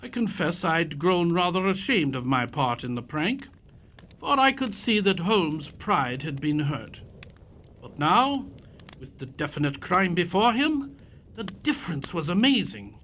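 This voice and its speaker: An actor playing a proper, earnest gentleman and storyteller in a period audio mystery, with an expressive manner. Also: fairly high pitch, unhurried, a little hiss or hum, heavily acted. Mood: reflective, admiring.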